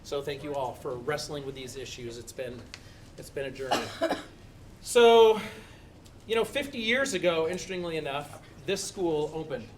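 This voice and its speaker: A man's voice: fairly high pitch, distant mic. A middle-aged man gives an earnest, slightly rambling presentation to a community meeting in a school building. He sits some way from the microphone, and a faint hiss runs under his voice. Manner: earnest, thankful, a little rambling with restarts. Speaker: committee member presenting.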